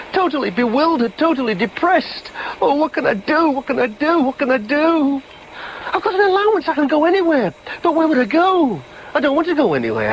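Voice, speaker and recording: High-pitched, bewildered Yorkshire man. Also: a little hiss or hum, low-quality recording. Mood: despairing. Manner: anguished, repetitive, philosophising. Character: bewildered, self-pitying man searching for his identity. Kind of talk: broadcast radio drama monologue.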